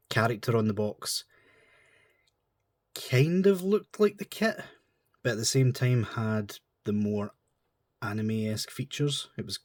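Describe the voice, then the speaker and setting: Stuffy-nosed man, low voice. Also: a little mellow, no room sound, clean studio sound. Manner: chatty, rambling, informal. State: stuffy nose from a cold. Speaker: model kit reviewer. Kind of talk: casual chat.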